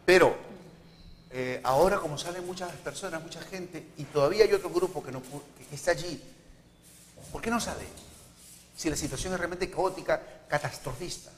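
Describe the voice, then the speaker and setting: An older man hosting a live studio interview, chatty and quick with a joke. His voice sits a little high, and the room rings with a long echo over a faint hiss. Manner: talkative, joking asides. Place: TV news studio.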